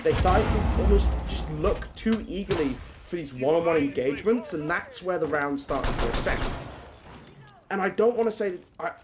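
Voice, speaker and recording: A man's voice: a little high, lively intonation, normal speed. A man commentating a tactical shooter esports match, chatty and joking with his co-caster between plays. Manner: chatty, self-deprecating banter between plays. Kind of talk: play-by-play commentary. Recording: broadcast with noisy game audio.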